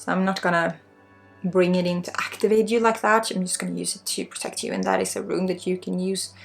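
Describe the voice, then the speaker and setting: A woman with a Swedish accent guides an ASMR energy healing, soft-spoken and reassuring as a spiritual guide. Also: mid-range voice, creaky. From Sweden. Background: music.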